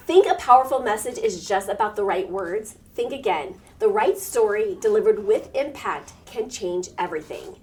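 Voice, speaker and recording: A woman hosts a business talk show. Her voice moves a lot in pitch and has a lively lilt. She sounds upbeat and encouraging as she asks questions. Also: normal speed, hardly any pauses. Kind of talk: scripted intro, then casual interview.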